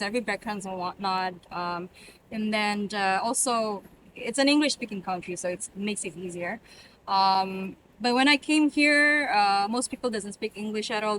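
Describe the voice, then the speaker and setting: A woman from Indonesia in a documentary interview, talking lively with many "uh"s and "you know"s. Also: mid-range voice, a little hiss or hum, rough recording.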